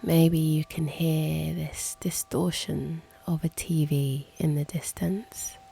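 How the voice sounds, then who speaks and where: Slow, low-voiced woman guiding meditation. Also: flat delivery, vocal fry, warm tone, slight background noise. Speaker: host and meditation guide. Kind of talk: guided meditation, slow and hushed.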